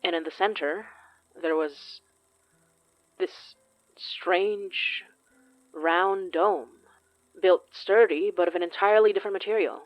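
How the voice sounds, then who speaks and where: A woman playing a grave, commanding spirit investigator in an audio drama, speaking solemnly and deliberately. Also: fairly low pitch, dry recording, highs cut off. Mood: solemn, measured.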